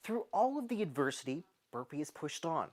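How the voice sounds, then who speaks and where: Lively, lower-pitched woman reading news. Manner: measured, emphatic news read. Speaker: news reporter. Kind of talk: scripted news voice-over.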